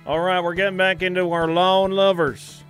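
A man's voice: sits high, flat delivery, moderate pace, a bit bright, soft background music. A man livestreaming a game in casual, rambling chat, repeating phrases and swearing freely, with a put-on folksy hillbilly drawl.

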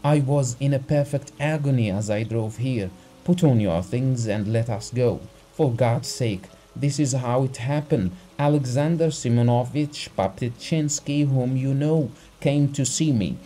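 A man reading aloud and voicing a frantic middle-aged gentleman who pleads breathlessly for help. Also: medium pitch, measured pace, slight background noise, voice pushed up, close to an everyday voice, low-quality recording.

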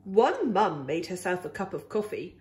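A woman reading a children's picture book aloud in an animated, expressive, deliberate way. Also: lowish voice.

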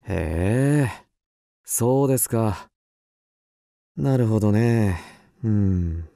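A man playing an upper-class husband in a radio drama, suave and indulgent, teasing with gentle condescension. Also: fairly low pitch, extremely slow, creaky, no room sound, studio quality, exaggerated voice. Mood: courteous.

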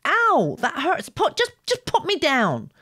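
A woman's voice: sits high, huge pitch swings, takes it slowly, fairly bright tone, no echo, clean studio sound, voice pushed up, exaggerated voice. A woman voicing a proud, bossy young gargoyle girl with an imperious manner in an audio story. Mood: pained, yelping. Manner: imperious, scoffing.

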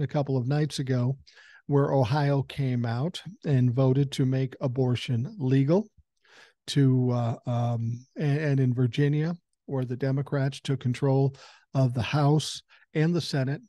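A man in his sixties with a cold talks straight into a close foam-covered mic, and the sound is dry with no room echo. His voice is mellow and mid-pitched, and he talks in a blunt, conversational way with casual swearing. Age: 60s. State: has a common cold. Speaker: podcast host. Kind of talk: casual political commentary monologue. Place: home room with a couch behind him. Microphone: large foam-covered dynamic mic close to the mouth.

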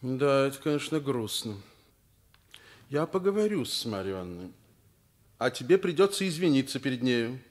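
An actor playing a kindly, firm middle-aged school director in a Soviet radio drama, fatherly and gently ironic. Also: medium pitch, big pitch swings, moderate pace, long pauses, natural voice.

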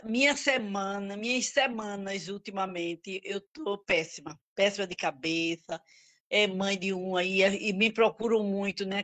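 A woman's voice: medium pitch, fairly bright tone. A middle-aged woman talks over an audio-only video call from home. Her speech rambles and halts, with a worried tone. At times she drifts away from the microphone. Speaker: group participant. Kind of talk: casual chat on a video call.

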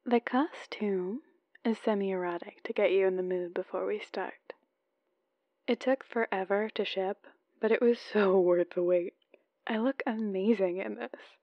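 A woman's voice: mid-range voice, no room sound, highs cut off. A woman playing an adorkable, lovesick, playful newlywed wife leaving chatty voicemails in an ASMR roleplay. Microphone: close microphone, dry.

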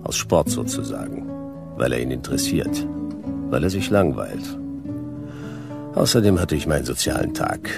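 An actor playing a laconic, world-weary private detective, narrating a radio drama in deadpan, terse fragments. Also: male voice, a bass voice, normal speed, gravelly, pauses often, loud music behind the voice, full character voice. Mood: dry, laconic.

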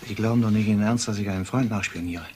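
A man acting as a blunt male detective in a radio crime play. He talks fast and colloquially, with a suspicious, irritable edge, over clear background noise. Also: lowish voice, a little mellow, lightly acted. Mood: dismissive. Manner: brusque, colloquial.

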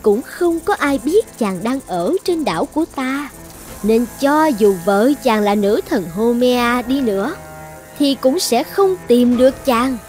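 A woman's voice: a little high, lively intonation, unhurried, a little mellow, faint music behind. A woman voicing a possessive, lovesick sorceress princess in a cartoon fairy tale, commanding and coaxing with an edge of menace. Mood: menacing.

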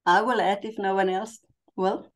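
A woman's voice: medium pitch, fairly even intonation, moderate pace. A woman in her sixties whose first language is Finnish, chatting candidly on a video call and making light of herself. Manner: candid, self-deprecating, laughs easily. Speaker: meeting participant. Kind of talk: casual video call chat. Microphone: webcam video call.

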